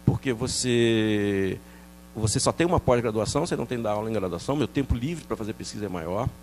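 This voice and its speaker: A middle-aged man from France, a researcher explaining his work in a TV interview, quick and animated, with many restarts and fillers. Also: fairly low pitch, slight background noise. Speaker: researcher guest.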